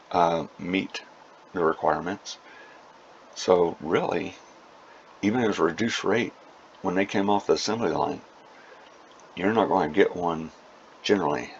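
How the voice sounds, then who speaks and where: A man hosting a casual prepper video update, talking informally in short, halting phrases. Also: deep voice, gravelly. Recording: dull lo-fi sound with faint noise.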